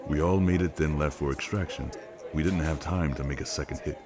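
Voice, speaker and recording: A man playing a weary, cautious operative and new father in naturalistic drama, measured and understated. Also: a bass voice. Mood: resolute. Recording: background music and crowd under dialogue.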